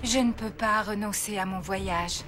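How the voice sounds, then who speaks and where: A woman playing a frail, kind, wistful old woman in a French cartoon, with a soft voice that turns breathy at times. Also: medium pitch, steady tone, a little slow, music in the background, natural voice. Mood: resigned, earnest. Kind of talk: French animated series dubbing.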